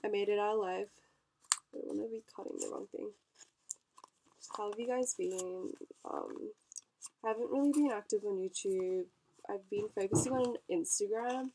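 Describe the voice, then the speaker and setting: A woman's voice: medium pitch, very animated, moderate pace, creaky, long pauses, mellow, slight background noise, rough recording. A young woman vlogger, congested from a cold, chatting bubbly and casually while unboxing packages. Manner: chatty, bubbly, lots of fillers and likes.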